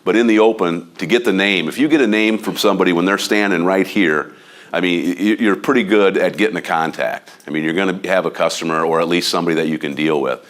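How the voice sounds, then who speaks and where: A man with a Midwestern American accent giving a casual training talk to real estate agents, loud, blunt and a bit overbearing. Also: low voice, fast talker. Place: office meeting room.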